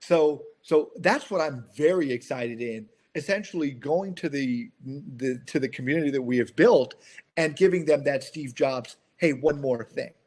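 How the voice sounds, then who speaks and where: A man's voice: medium pitch, moderate pace, darkish tone. Earnest man on a business call. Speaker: company founder. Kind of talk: business conference call discussion.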